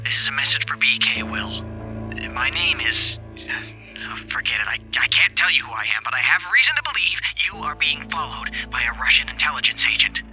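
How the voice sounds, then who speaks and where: An actor playing a nervous anonymous tipster leaving a hesitant, secretive voicemail in an audio drama. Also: male voice, mid-range voice, expressive, fairly quick, over music, close to an everyday voice, low-quality recording.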